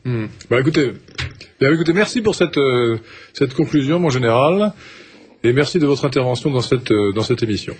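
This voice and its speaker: A man's voice: mid-range voice, expressive, a little fast, husky. A man hosting a live radio talk show, politely and hesitantly steering his guests. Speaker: radio host.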